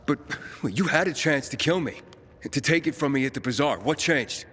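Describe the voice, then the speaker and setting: A man's voice: on the high side, expressive, moderate pace, pushed and bright, husky, full character voice. An actor playing an earnest, principled young male survivor with an insistent, probing way of talking, in a video game cutscene. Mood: disbelieving, firm. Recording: music under the voice.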